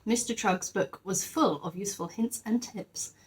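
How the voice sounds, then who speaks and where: A woman's voice: medium pitch, moderate pace. A woman narrating a children's story expressively, like a teacher reading aloud to her class.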